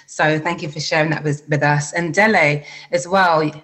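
A woman's voice: low voice, fairly even intonation, moderate pace, fairly bright tone. A woman hosting a podcast interview, asking questions and encouraging her guests with frequent affirmations. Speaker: podcast host. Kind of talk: interview conversation.

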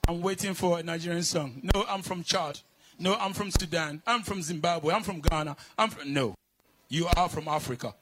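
Guy hyping up a festival crowd on live radio, fairly high voice, lots of noise around. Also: moderate pace. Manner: energetic, rallying the crowd. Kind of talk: live event hosting.

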